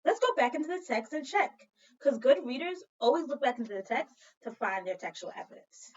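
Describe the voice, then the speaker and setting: Woman, teacher, dramatic storytelling for children, fairly high voice, questioning delivery, recorded away from the mic. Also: lively intonation, a little fast. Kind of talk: expressive children's read-aloud and lesson. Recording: dull lo-fi sound, distant from mic.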